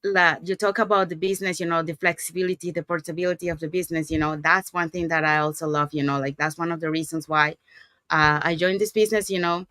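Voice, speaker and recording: A woman's voice: lowish voice, steady tone, fast, bright, forceful tone, dry recording. A woman from Panama, a native Spanish speaker with a Panamanian accent, talking casually as the host of an interview. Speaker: show host.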